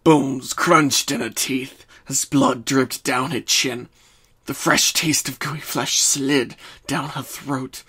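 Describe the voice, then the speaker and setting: A man narrates a story as a dramatic reading. His voice sits at a fairly high-middle pitch between the lines of dialogue, carrying the scene as storyteller. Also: a bit bright.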